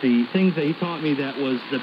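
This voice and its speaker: A man's voice: medium pitch, brisk. A man chatting casually as an interview guest on a radio show, talking off the cuff. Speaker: guest, amateur radio video maker. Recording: noisy lo-fi shortwave radio broadcast.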